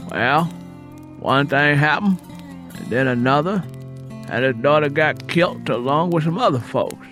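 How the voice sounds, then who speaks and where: A man acts out a careful, plain-spoken country woman from the American South in an audiobook. The delivery is serious and measured, in rural dialect, over a music bed. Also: mid-range voice, fairly bright tone, natural voice. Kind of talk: acted audiobook character dialogue. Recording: music playing under the voice.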